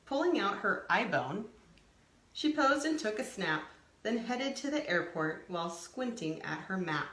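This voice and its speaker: A woman reading a picture book aloud to young children, expressively, pausing to add simple explanatory asides. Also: mid-range voice, very animated, fairly bright tone, echoey room. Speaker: storytime reader.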